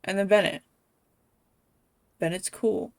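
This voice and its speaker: A woman's voice: mid-range voice, steady tone, slow pace, a little mellow, dry recording. A woman game streamer chatting casually to viewers as she plays. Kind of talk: casual livestream chat while playing a game.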